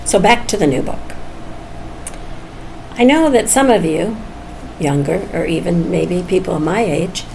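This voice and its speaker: Creaky-voiced woman of about seventy, low pitch. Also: a little slow, pauses often, mellow. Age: almost 70. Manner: candid, musing, chuckles often. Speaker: vlogger. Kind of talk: casual, rambling personal vlog talk. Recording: noisy background ambience.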